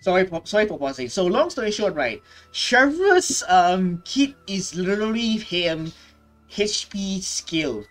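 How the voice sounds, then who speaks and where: A young man giving casual, off-the-cuff gaming commentary, speaking haltingly and repeating himself. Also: high voice, very animated, a bit bright, soft background music. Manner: halting, repetitive, often tagging phrases with "right". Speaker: gaming reaction video host. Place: small indoor room.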